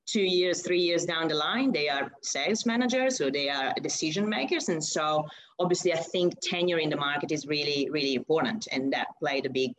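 Guest voice: young Italian woman, English with an Italian accent, low for a woman, chatty, full of fillers and restarts. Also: brisk, fairly bright tone, low-quality recording. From Italy. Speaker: guest, recruitment consultant. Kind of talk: casual interview answers.

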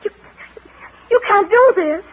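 An actress playing an anxious, devoted young wife, proper and easily indignant, in a 1940s radio drama. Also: female voice, very high-pitched, lively intonation, takes it slowly, heavily acted. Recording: muffled, noisy 1940s radio recording.

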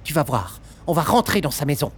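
Woman playing an impatient, lively little wind spirit. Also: sits low, fairly quick, slightly rough, heavily acted. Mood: cheeky. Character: playful, impatient, cheeky female gust of wind. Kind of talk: French audio fiction acting.

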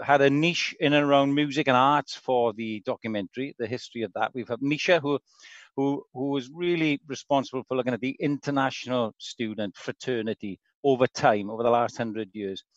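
A man's voice: mid-range voice, dry recording. Male podcast host, eager and upbeat, dry dull call audio. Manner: enthusiastic, talks up guests. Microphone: video call.

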